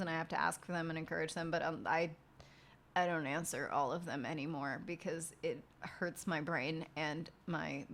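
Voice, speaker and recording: A woman streamer and podcast host, chatting casually and rambling with lots of fillers and swearing as she works late at night. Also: deep voice. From outside the United States. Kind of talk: casual late-night chat while working.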